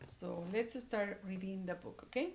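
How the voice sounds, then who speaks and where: A woman's voice: medium pitch, a little slow, creaky, noisy background. A woman teaching a read-aloud lesson to young children, repeating words and phrases and coaxing her listeners along with questions. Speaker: early-grade reading teacher.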